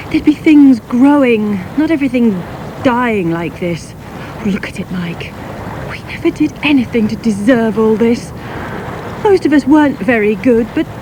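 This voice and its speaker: An actress playing an emotional, stubborn, sharp-tongued wife fleeing disaster in a radio drama. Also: female voice, on the high side, animated, unhurried. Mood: anguished, despairing. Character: anguished, stubborn wife fleeing a drowned Britain. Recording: noisy outdoor boat and water sound effects.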